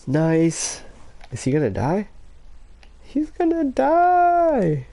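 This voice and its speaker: A man giving casual commentary over video clips, breaking into excited yelps. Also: high-pitched, very slow, creaky, no room sound. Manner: animated, bursts into excited yelps.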